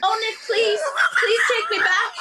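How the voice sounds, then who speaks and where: A woman playing a brash, boastful, streetwise male carjacker in a comic table read, loud, swaggering and profane. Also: very high-pitched, fairly even intonation, unhurried, very noisy, pitched above the performer's own voice. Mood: animated, boastful.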